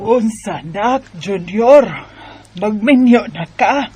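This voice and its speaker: A woman's voice: fairly high pitch, lively intonation, takes it slowly, over loud music. An actress playing a fretful, exasperated mother and wife in a Bisaya radio drama, pleading and scolding. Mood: worried.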